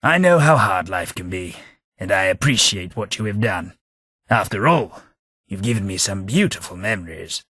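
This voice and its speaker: An actor playing an old, wry undead London gentleman in a game, speaking, formal politeness and dry wit, with a husky voice. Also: low-pitched, lively intonation, moderate pace, dry recording, heavily acted. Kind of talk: game companion voice lines. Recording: dry close studio recording.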